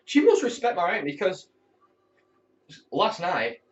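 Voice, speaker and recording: A man's voice: sits high, huge pitch swings, takes it slowly, recorded from a distance. A teenage lad in the air cadets talks casually to his camera at home, rambling freely and swearing now and then. He sits some way from the mic. The sound is dull and muffled. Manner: candid, rambling, swears when annoyed.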